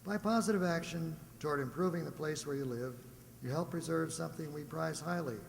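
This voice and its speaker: A man's voice: a little high, a little fast, gravelly. A man reading a scripted public-service message as an old-time radio announcer. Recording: echoey hall, noisy hum.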